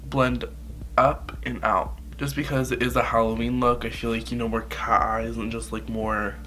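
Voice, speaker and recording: A man's voice: medium pitch, flat delivery, moderate pace, bright, forceful tone. A young man with a stuffy, nasal voice from a cold, chatting loosely through a makeup tutorial into his camera, swearing and saying "like" constantly. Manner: rambling, profane, filler-heavy. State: sick, nasal. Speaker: makeup vlogger.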